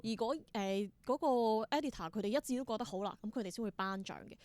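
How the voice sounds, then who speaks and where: A woman's voice: mid-range voice, fast, a little mellow, dry recording. A woman with a Hong Kong Cantonese accent answering as a theatre performer in an interview, explaining things conversationally and dropping in English terms.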